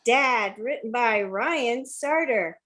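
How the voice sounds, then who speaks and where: A woman's voice: a little high, lively intonation, slow, fairly bright tone. An older woman reading screen directions aloud for a live script table read.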